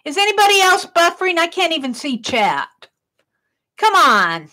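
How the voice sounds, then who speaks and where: A middle-aged woman hosting an art livestream, chatting casually with a loud, projected voice while she works and reads chat comments. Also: very high-pitched, very animated, moderate pace.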